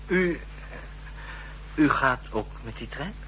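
An actor in a 1960s Dutch radio play, playing an ambitious, overconfident young intelligence officer with an intense, self-assured manner. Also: male voice, mid-range voice, expressive, slow, pushed and bright, slight background noise, rough recording. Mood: deferential.

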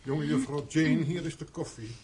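An actor in a radio play as a formal, deferential manservant in a gentry house. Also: male voice, fairly high pitch, moderate pace, darkish tone, slight background noise, close to an everyday voice.

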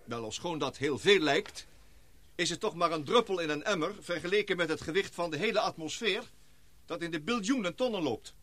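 Earnest formal scientist in a radio play, bright voice, pitch swooping all over, oratorical. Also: male voice, animated, fairly quick, dry recording. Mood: grave, explanatory. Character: earnest male scientist leading an island expedition, formal, excitable. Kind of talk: Dutch radio play acting.

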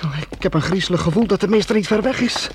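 An actor playing an anxious young merchant's son in a radio play, earnest and stammering with urgency. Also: male voice, high voice, quick pace, close to an everyday voice. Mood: worried, urgent. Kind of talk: Dutch radio play acting. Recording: noisy old radio play recording.

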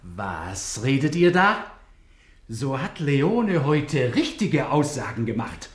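Indignant bossy court man in a radio play, big pitch swings, echoey. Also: sing-song, slow. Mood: indignant command. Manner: imperious, urgent. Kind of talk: German radio drama acting.

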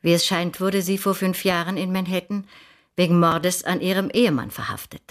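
An actress playing a composed, caring older woman in a radio drama, with a husky voice. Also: lowish voice, normal speed, natural voice. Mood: measured, grave.

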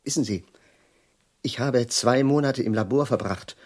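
Casting: eccentric chemist in radio drama, mid-pitched, slightly rough voice, abrupt, ironic, brooding, given to agitated rants. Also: male voice, a little slow. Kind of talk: German radio play acting.

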